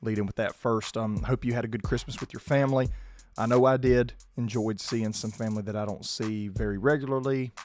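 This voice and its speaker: A man, hoarse and losing his voice, hosting a casual sports podcast and keeping his volume down. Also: mid-range voice, fairly even intonation, fairly quick, darkish tone, faint music behind. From South Georgia, US. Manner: restrained, holding his volume down. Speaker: podcast host.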